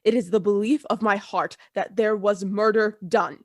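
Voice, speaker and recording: A woman's voice: mid-range voice, steady tone, moderate pace, no room sound, clean studio sound, lightly acted. A woman playing a frightened, loyal and deferential old household servant in a Gothic radio play. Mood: frightened, insistent. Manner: deferential, agitated, emphatic.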